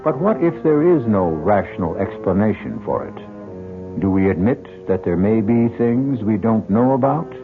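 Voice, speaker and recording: Low-voiced grave radio mystery narrator. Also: male voice, animated. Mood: grave, ominous. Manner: measured, portentous, rhetorical questioning. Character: grave, philosophical male narrator of mystery tales. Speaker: host and narrator. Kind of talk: scripted old-time radio mystery narration. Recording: muffled old radio broadcast with music.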